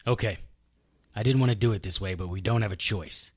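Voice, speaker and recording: A man's voice: lowish voice, brisk, close to an everyday voice. An actor playing a wry, impulsive, tough detective who narrates his own story in an audio drama. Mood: firm, wry. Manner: wry, hard-boiled. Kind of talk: first-person audio drama narration and acting.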